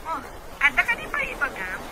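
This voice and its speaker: A woman's voice: extremely high pitch, huge pitch swings, brisk, a loud crowd, full character voice. A woman playing an eager, nosy female friend in an Ilocano radio comedy-drama. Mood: chatty, surprised. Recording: noisy radio drama mix.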